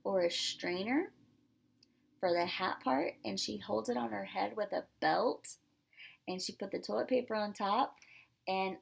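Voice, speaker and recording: A woman reading a picture book aloud to young children, expressively emphasizing words and pausing to explain and ask questions. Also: mid-range voice, gravelly, slightly rough. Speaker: pre-K teacher.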